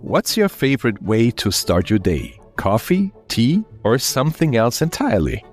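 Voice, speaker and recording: A man's voice: medium pitch, big pitch swings, moderate pace, soft background music. A man with an Austrian accent hosting a podcast interview, upbeat and friendly, with frequent ums. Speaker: podcast host.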